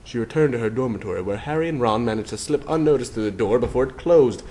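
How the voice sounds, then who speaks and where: Animated man narrating a dramatic story. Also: mid-range voice, quick pace. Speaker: narrator of a fan fiction reading.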